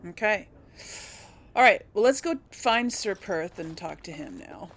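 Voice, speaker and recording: Woman doing a chill let's play, thinking out loud, slow but lively pitch, faint noise behind. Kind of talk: casual gameplay commentary, thinking aloud.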